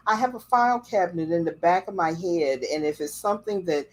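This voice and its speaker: A woman's voice: lowish voice, a little fast. A woman hosting a livestream, chatting casually and telling stories. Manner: rambling, chatty storytelling. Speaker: livestream host. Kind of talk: casual chat. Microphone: livestream setup.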